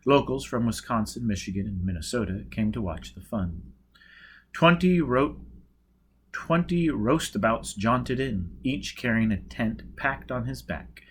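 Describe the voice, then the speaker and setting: A man reading a novel aloud as an audiobook, with emphatic, deliberate dramatic narration. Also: mid-range voice, slightly rough. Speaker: audiobook reader.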